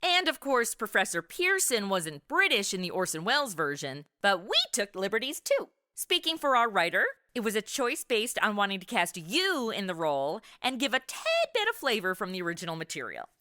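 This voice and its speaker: A woman hosting a podcast in a lively, scripted but conversational style. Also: mid-range voice, normal speed, few pauses, no room sound, clean studio sound. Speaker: podcast host.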